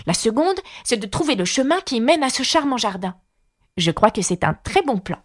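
A woman's voice: on the high side, very animated, moderate pace. A woman voicing a plucky, curious young storybook girl, spirited and given to musing to herself. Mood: pondering. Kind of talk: storybook character reading.

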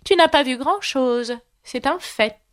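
A woman doing a raspy bad-tempered duchess. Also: sits high, measured pace, a bit bright, voice pushed up. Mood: snappish. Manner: abrupt, snapping, sing-song when chanting. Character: bad-tempered rude old duchess, harsh and bossy. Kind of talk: audiobook character voice.

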